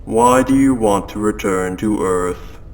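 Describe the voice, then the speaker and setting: A man voicing a calm, possessive spaceship AI in an audio roleplay, speaking in a measured, formal, softly coaxing way. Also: on the low side, creaky, a little hiss or hum, heavily acted. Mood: probing, persuasive. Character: calm, possessive spaceship AI turning quietly obsessive. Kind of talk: scripted audio roleplay monologue. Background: ambient sound effects.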